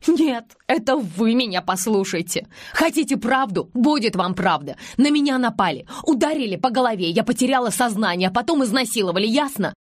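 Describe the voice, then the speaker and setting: A woman's voice: on the low side, quick pace, dark tone, husky, no echo, clean studio sound. A woman voicing a guarded, wronged pregnant village woman with bitter defiance, in an audiobook story. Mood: desperate, outraged. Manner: breaking, breathless outcry when provoked.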